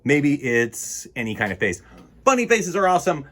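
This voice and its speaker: A man's voice: a little high, sing-song, normal speed, a bit bright. A man hosting a children's storytime, reading and chatting to young listeners in a playful, whimsical, rambling way. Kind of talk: picture-book reading and playful chat to children.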